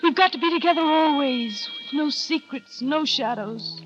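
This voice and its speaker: An actress playing a timid young wife in an old radio drama, gentle and pleading. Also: female voice, high-pitched, normal speed, muffled recording, music in the background, natural voice. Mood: distraught. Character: young anxious wife, grown woman, timid. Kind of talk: 1940s radio acting.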